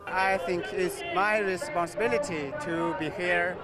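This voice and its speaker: Halting high-voiced man, very loud crowd. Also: fairly even intonation. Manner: halting, searching for words. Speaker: interviewed protest marcher. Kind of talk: spontaneous street interview. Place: outdoors in a street march. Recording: very loud crowd and street noise.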